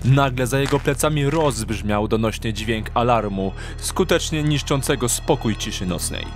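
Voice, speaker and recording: Slightly higher-pitched male dramatic narrator. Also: moderate pace. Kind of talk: dramatic scripted audiobook narration. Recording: clear music behind the narration.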